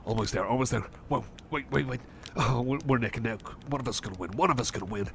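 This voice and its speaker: A man's voice: mid-range voice, quick pace, gravelly, fairly bright tone, a little hiss or hum. A man voicing a shy, eager cyborg friend in an ASMR roleplay, hesitant and stammering, repeating himself and seeking reassurance. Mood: playful, excited. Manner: hesitant, stammering, repeats phrases, checks on listener. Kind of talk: ASMR roleplay, personal attention.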